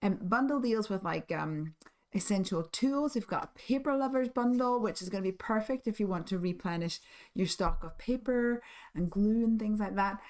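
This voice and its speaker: A hoarse woman hosts a live crafting stream, her voice husky and half gone. She chats in a friendly, rambling way, full of ums and small laughs, at a middling pitch. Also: moderate pace, darkish tone. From United Kingdom. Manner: chatty, friendly, many ums and little laughs. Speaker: craft stream host and shop owner.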